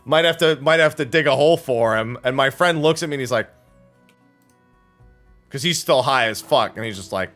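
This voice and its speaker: A man chatting casually as a livestream host, telling anecdotes in an animated, profane way and acting out the dialogue. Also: mid-range voice, moderate pace, long pauses, faint music behind.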